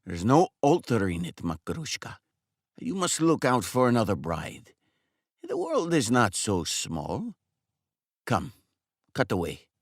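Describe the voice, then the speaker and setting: A man's voice: medium pitch, wildly swooping pitch, unhurried, pauses often, dry recording, pitched above the performer's own voice, exaggerated voice. A smug, bluff older man who lectures the barber in a patronizing, matter-of-fact tone, as a man reading the story puts him on. His voice rises and falls a lot. The recording is dry and close. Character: older male customer, smug and blunt.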